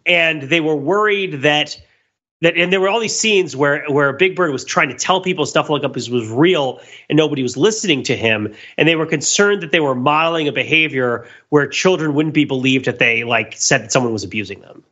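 A man with a bright, slightly high voice talks as a podcast host. He rambles through comic retellings in an animated, casual way, wandering off on tangents. The recording is a little dull and lo-fi. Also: brisk. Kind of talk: casual comedic pop-culture discussion.

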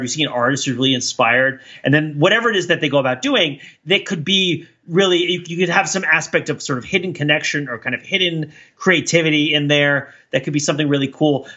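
A man with a bright, slightly high voice talks casually as a podcast co-host. He rambles reflectively, repeating words as he works out his thought. The recording is a little dull and lo-fi. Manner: rambling, halting, repeats words while thinking aloud.

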